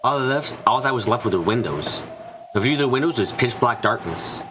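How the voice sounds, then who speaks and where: A man's voice: medium pitch, expressive, a little fast, background noise, lightly acted. A man voicing an anxious, frightened narrator trapped and desperate, in a first-person visual novel fan dub. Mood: uneasy, brooding. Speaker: voice actor narrating.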